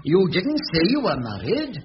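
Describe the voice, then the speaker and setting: An actor playing an officious council housing officer with a formal, bureaucratic manner, on an old radio recording with clear hiss. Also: male voice, sits high, huge pitch swings, moderate pace, muffled recording.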